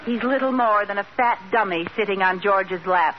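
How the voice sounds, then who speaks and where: An actress playing a boastful, fibbing housewife in an old radio comedy, bluffing breezily with comic hesitations. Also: female voice, medium pitch, normal speed, close to an everyday voice. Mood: confiding, boastful. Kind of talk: 1940s radio comedy acting. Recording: noisy, dull old radio recording.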